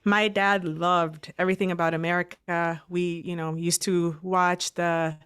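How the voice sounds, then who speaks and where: A woman's voice: fairly low pitch, level tone, measured pace, a bit bright, smooth. A woman from El Salvador, a nonprofit director guesting on a podcast, telling her story fluently and confidently. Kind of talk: casual interview.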